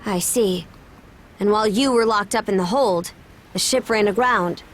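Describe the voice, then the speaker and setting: An actress plays a stern young woman in command of a seafaring crew in a video game. Her pitch is average for a woman. She speaks bluntly and with composure, practical and firm. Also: normal speed, natural voice. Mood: matter-of-fact. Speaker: voice actor. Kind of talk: video game cutscene acting.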